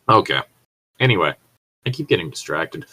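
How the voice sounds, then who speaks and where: A man with a fairly low voice co-hosts a casual podcast. He reads internet horror stories aloud and chats around them, drifting off track easily and cursing freely. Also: pushed and bright, slightly rough. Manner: easily sidetracked, freely profane. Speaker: podcast co-host.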